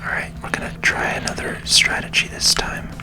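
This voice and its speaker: A person giving gentle, unhurried ASMR video game commentary as host, in a breathy whisper. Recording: music behind the whisper. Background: video game music.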